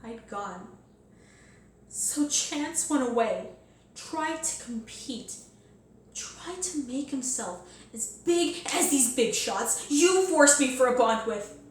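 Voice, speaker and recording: A woman playing a bitter, wounded young Southern daughter in a stage monologue, deliberate, pleading and accusatory, with heavy pauses. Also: high-pitched, expressive. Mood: pleading, grieving. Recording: echoey room, faint noise.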